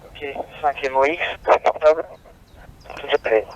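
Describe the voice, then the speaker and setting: A man's voice: a little high, measured pace, highs cut off. A man heard over a radio receiver, making an amateur radio contact. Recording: radio reception with faint outdoor noise.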